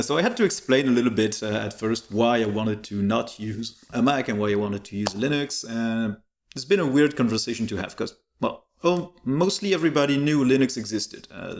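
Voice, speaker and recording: A man whose first language is French, chatting casually and in easygoing detail as an interview guest. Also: medium pitch. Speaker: interview guest, tech YouTuber.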